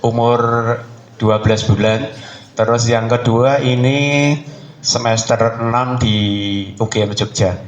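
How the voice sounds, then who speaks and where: A man's voice: mid-range voice, steady tone, slow pace. A man introduces himself casually in loose, broken short phrases. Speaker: participant introducing himself to a group. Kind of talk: casual self-introduction to a gathering. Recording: echoey room.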